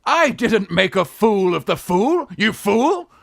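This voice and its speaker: A man acts out a flustered young gent masquerading as somebody's aunt in a stage farce. The voice is high and swoops about theatrically, indignant and quick to protest, recorded dry and close. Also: sing-song, creaky, no echo, heavily acted. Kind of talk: Victorian farce radio acting.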